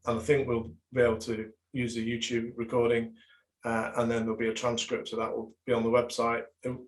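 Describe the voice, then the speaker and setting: A man coaching a webinar over a video call, his voice a touch strained as he loses it. He talks in a flat, even line, stopping often on fillers and restarting his sentences. He sits at a middle pitch. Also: rough recording. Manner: hesitant, many fillers and restarts. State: losing his voice. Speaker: business and marketing coach leading a webinar. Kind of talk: live online workshop presentation.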